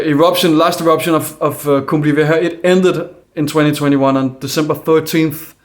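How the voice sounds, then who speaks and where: A man with a Danish accent and Danish as his first language, talking earnestly into the camera in a casual monologue, full of fillers and restarts. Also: fairly high pitch. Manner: hesitant, many fillers and restarts; calm, earnest. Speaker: independent video maker.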